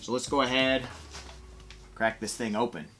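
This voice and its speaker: A man's voice: medium pitch, fairly even intonation, moderate pace, loud music behind the voice. A man giving a casual, enthusiastic, conversational product review as a toy reviewer.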